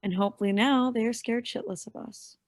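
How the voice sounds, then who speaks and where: A woman's voice: a little high, steady tone, a little fast, no room sound, studio quality, close to an everyday voice. A woman playing a tough, wary young vigilante in a staged play reading. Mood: curt, irritated.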